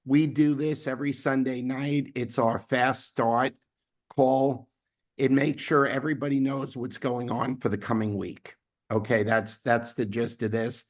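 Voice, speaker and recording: A man hosting a weekly team video call, his voice hoarse from laryngitis, rambling with fillers and restarts. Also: medium pitch, level tone, a little slow. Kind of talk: casual team announcements.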